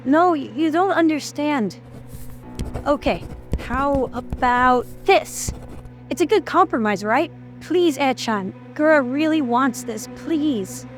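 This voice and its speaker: A woman's voice: sits high, expressive, slow pace, music in the background. A woman in an audio drama playing an anxious, deferential talent manager who speaks hesitantly. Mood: pleading, urgent. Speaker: voice actor in fan audio drama.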